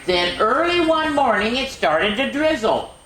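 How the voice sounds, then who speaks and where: A woman hosting a children's story time, reading picture books aloud in an animated way with chatty, exclaiming asides. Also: a little high, unhurried. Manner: animated, repeats phrases for emphasis, exclaims. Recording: roomy, echoing room sound.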